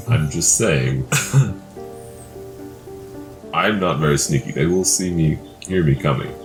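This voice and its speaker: A man's voice: low voice, expressive, slow. A man playing a tabletop game, chatting casually with joking remarks and questions. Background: background music.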